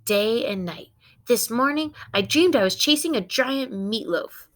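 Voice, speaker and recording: A woman's voice: fairly high pitch, expressive, unhurried, fairly bright tone. A woman voicing a blunt, talkative female family dog in a children's storybook. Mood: bossy, cheerful. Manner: outspoken, cheeky, talkative.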